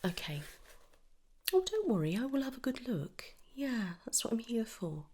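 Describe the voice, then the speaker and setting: A British woman whispering softly and gently in an ASMR medical roleplay, reassuring and unhurried, close to the mic. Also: a little high, sing-song. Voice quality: breathy whisper and hushed soft voice. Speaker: ASMR performer playing a dental receptionist or dentist.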